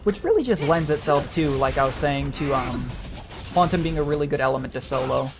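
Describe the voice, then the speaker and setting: A game streamer talks casually through their play in a mid-pitched voice. The delivery rambles, as if thinking aloud, with game music playing behind it. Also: brisk. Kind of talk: casual gameplay commentary. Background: video game music.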